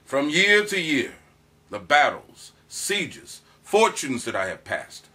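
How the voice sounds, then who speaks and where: A man's voice: a little high, very animated, slow pace, sharp tone, full character voice. A man performing a proud soldier who recounts his courtship in a declamatory, theatrical Shakespearean monologue. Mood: proud, grand. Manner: declamatory, dramatic emphasis.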